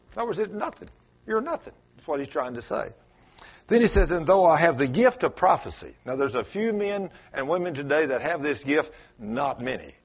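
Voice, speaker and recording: A man with a South Texas drawl preaches in a plain, folksy style. His pitch rises and falls widely for emphasis, and his voice has a husky edge. The recording sounds dull and muffled. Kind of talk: sermon.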